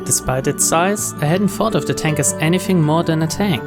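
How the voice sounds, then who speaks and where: A man's voice: fairly high pitch, normal speed. A man hosting a let's play, reading a visual novel's narration aloud. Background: game music.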